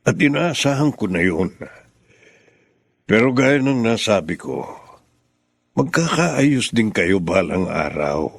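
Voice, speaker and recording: An actor playing a calm, steady widowed father who has remarried, in a radio drama. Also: on the low side, takes it slowly, creaky, pauses often, no room sound, heavily acted. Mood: reassuring. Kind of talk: Filipino radio drama acting.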